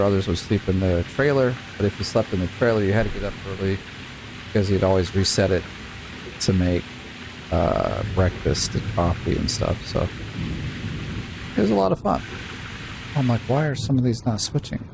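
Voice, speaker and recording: A low-voiced man with a gravelly, creaky tone talks his way through a gameplay session in a loose, chatty way. He rambles, trails off and grumbles at the game, and a steady hiss of noise sits under his voice throughout the recording. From Utah. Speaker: gaming YouTuber. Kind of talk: casual let's-play commentary.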